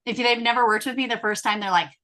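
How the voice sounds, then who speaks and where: Bright-voiced woman telling her story. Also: a little high, steady tone, fast talker. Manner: chatty, many fillers and restarts, clears throat often. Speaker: hypnotherapist guest. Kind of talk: casual interview storytelling.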